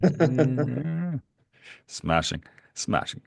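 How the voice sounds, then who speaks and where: A middle-aged man from Canada, co-hosting a podcast and telling animated, rambling travel stories with frequent chuckles. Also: fairly high pitch, extremely slow, creaky, no room sound, studio quality. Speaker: podcast co-host. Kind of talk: casual chat, travel storytelling.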